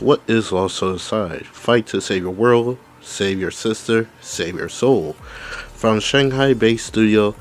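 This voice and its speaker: A man's voice: fairly low pitch, a little hiss or hum. A man hosting a gaming channel, coming down with the flu, casually reading out game details and commenting, with stumbles and restarts. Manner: reads text aloud with stumbles and restarts.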